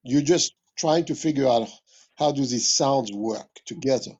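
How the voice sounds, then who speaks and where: A man from West Africa speaks in a mid-pitched, husky voice. He explains his points reflectively and deliberately, like a scholar in an interview, repeating phrases to stress them. The recording is a little dull and lo-fi. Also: darkish tone. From West Africa (Mali). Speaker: interviewed jazz musician and music history teacher.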